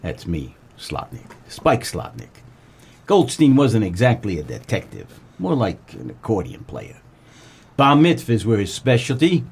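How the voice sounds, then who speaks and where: An American man playing a world-weary, wisecracking 1940s private eye in a comedic noir radio play, with deadpan melodrama. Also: on the low side, a little slow, creaky, breathy edge, exaggerated voice. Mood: brisk, deadpan. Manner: deadpan, melodramatic tough-guy delivery.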